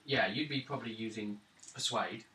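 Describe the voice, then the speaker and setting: A man's voice: fairly low pitch, normal speed. A man casually refereeing a tabletop role-playing game as game master. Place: indoors around a gaming table. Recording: roomy, distant mic, loud rattly table noise.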